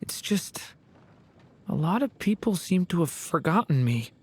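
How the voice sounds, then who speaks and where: An actor voicing a gentle, earnest, hesitant young man in a video game. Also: sits high, sing-song, measured pace, a little hiss or hum. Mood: subdued, earnest. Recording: background music under voice.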